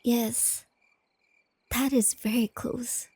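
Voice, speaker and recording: A woman playing a shy, nerdy young girlfriend in a soft-spoken ASMR roleplay, sweet and earnest, explaining things gently and without hurry. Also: a little high, fairly even intonation, extremely slow, slightly rough, dry recording, heavily acted. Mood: gentle, informative.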